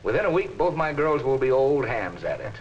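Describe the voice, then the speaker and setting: Man acting exasperated 1950s American boss, animated pitch. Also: a little fast, close to an everyday voice. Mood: brisk, businesslike. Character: exasperated male accounting department boss, brusque and patronizing. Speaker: actor in workplace instructional film. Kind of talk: 1950s instructional film acting. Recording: muffled, hissy 1950s film soundtrack.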